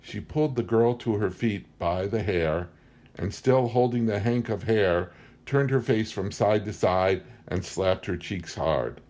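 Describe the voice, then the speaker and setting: A man's voice: fairly low pitch, measured pace, a little mellow. A man reading a book aloud as scripted story narration. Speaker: audiobook reader.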